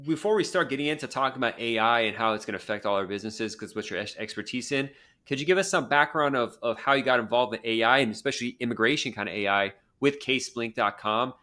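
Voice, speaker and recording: A man's voice: mid-range voice, fast talker, sharp tone. A man hosting an immigration law podcast, chatting casually and rambling as he stacks several questions into one. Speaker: podcast host, immigration lawyer. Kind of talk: casual interview chat.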